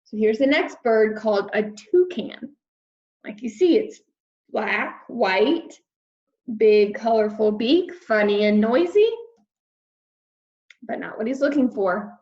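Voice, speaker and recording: Lady doing a kids read aloud, really slow, explains stuff simply, kinda dull echoey recording. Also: on the high side, animated, a little mellow. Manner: slow, simple explaining addressed to young listeners. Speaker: read-aloud storyteller, teacher of young children. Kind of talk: expressive children's picture book read-aloud with asides. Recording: roomy echo, slightly dull sound.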